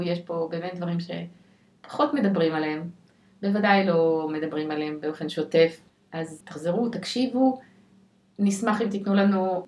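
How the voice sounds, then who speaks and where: A woman's voice: fairly low pitch, darkish tone. An Israeli woman hosting a podcast in a conversational interview style. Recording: echoey room, distant from microphone.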